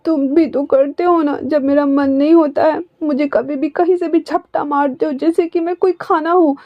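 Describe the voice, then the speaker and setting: A woman's voice: high-pitched, steady tone, moderate pace, lo-fi sound, voice pushed up, natural voice. A woman voicing a childish young man who keeps crying and sulking in a storybook reading. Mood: pleading. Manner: sobbing, pleading, sulky.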